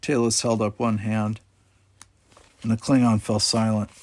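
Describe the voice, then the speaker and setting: A man's voice: on the low side, flat delivery, a little slow, a little mellow, no echo. A man reading an audiobook, narrating a scripted story. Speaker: audiobook reader.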